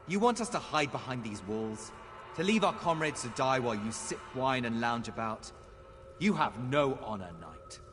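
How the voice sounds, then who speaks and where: A man's voice: medium pitch, lively intonation, normal speed, a bit bright, soft background music, close to an everyday voice. A man playing a proud, hot-headed elf soldier in a video game, scornful and confrontational. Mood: outraged.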